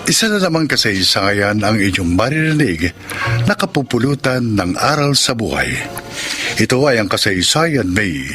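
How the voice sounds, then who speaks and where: A man's voice: fairly low pitch, sing-song, moderate pace. A man with a Filipino accent announcing a scripted radio drama in a formal, classic dramatic style. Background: loud theme music.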